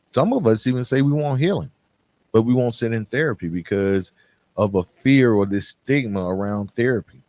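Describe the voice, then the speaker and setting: A man with a fairly low voice speaks as a podcast host in a close, dry recording. He is earnest and direct, talking colloquially and repeating points for emphasis as he motivates the listener. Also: a little mellow, no room sound. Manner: conversational, colloquial.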